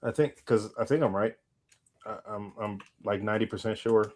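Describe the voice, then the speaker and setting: A man's voice: fairly low pitch, moderate pace. A tired man hosting a podcast, chatting casually in a rambling way and often backtracking mid-sentence. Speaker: podcast host. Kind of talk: casual chat.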